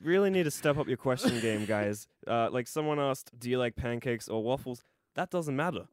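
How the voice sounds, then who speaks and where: Young Australian man, mid-pitched, dry sound. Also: lively intonation, normal speed, dry recording. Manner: sarcastic, joking, profane. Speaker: podcast co-host. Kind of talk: casual banter.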